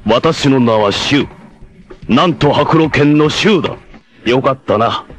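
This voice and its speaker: A man's voice: medium pitch, unhurried, close to an everyday voice. A man voicing a noble, self-sacrificing blind warrior leader in a 1980s anime, with a solemn, formal, declamatory delivery. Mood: composed, grave. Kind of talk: 1980s anime martial-arts voice acting.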